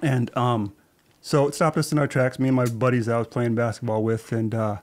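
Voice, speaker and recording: A man in his forties, born in Thailand and raised in Southern California, telling his story as a podcast guest in a thoughtful, measured way. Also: medium pitch, flat delivery, darkish tone. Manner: measured, thoughtful, recalls details with small restarts. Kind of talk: relaxed conversational storytelling. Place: podcast studio with shelves and plants. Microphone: studio desk mic with headphones.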